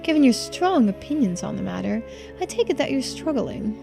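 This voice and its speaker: A woman voicing a poised, unflappable female investigator in a video game, polite and gently needling in measured, formal phrases. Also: sits high, big pitch swings, gravelly, over music, heavily acted. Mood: teasing. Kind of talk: game character voiced in a playthrough.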